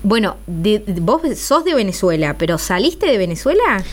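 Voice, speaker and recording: A woman's voice: medium pitch, sing-song, moderate pace. Chatty woman radio host. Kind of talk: casual radio interview.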